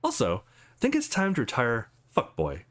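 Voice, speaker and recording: A man's voice: medium pitch, wildly swooping pitch, moderate pace, pitched below the performer's own voice. A man voicing a tough woman sparring partner from a visual novel, mocking and coolly formal, with clipped commands.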